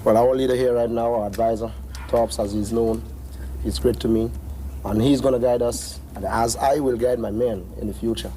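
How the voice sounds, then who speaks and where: A man's voice: mid-range voice, steady tone, moderate pace, mellow. A man speaking earnestly in an interview testimonial on an old film recording with some background noise. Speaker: military trainee leader giving testimonial.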